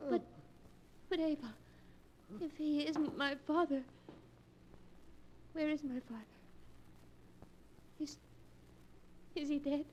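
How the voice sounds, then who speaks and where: A woman's voice: sits high, steady tone, takes it slowly, pauses often, a little mellow. A woman playing a frightened, desperate young heiress in breathless 1940s radio melodrama. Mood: anxious, bewildered. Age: young adult. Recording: noisy vintage 1940s radio recording.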